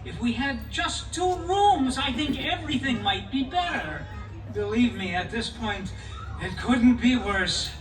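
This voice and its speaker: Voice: medium pitch, expressive, a little slow, background noise, natural voice. A performer playing a harried, good-natured village father in a live puppet show, exclaiming and pleading in comic exasperation. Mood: pleading, wry. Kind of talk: live puppet show folk tale acting. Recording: echoey live show, distant mic.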